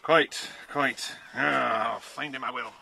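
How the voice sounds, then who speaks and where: Shifty, blustering stepfather; sharp, forceful voice, mid pitch, children's audio drama. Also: fairly even intonation, takes it slowly, creaky, full character voice. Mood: shifty, warning. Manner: blustering, insistent. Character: bad-tempered, shifty stepfather, adult man. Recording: hissy 1990s cassette tape.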